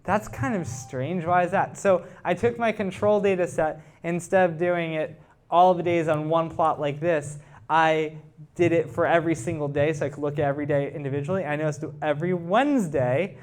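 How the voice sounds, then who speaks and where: A man with a slightly high voice presents technical research to a live audience. He explains it in an informal, conversational way and engages his listeners with questions. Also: steady tone, normal speed. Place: live talk to a club audience.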